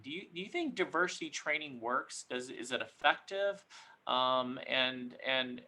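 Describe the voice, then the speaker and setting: A man's voice: mid-range voice, pushed and bright. A man moderating a panel discussion, affirming, with frequent stammering restarts.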